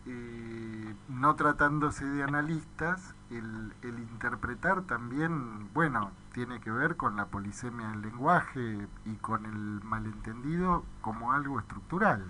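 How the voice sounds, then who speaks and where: A man with an Argentine Spanish accent hosting a conversational radio discussion, adding measured remarks and checking with tag questions. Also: mid-range voice, sharp tone, low-quality recording. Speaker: radio host. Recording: faint background noise on radio audio.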